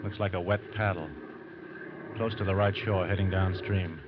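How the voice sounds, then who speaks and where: Man acting watchful FBI agent, deep voice, 1940s radio drama, muffled noisy old recording. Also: steady tone, normal speed, close to an everyday voice. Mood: tense, watchful.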